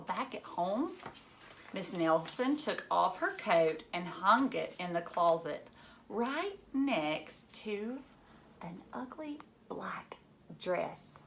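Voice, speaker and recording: A woman's voice: mid-range voice, fairly bright tone, slight background noise. A woman reading a picture book aloud to children, theatrically, with questioning asides to the young listeners.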